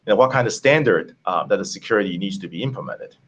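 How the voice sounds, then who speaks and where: A man from Taiwan, a tech company founder, answering interview questions over a video call in a halting way with many fillers. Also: low-pitched, lively intonation, a bit bright, husky.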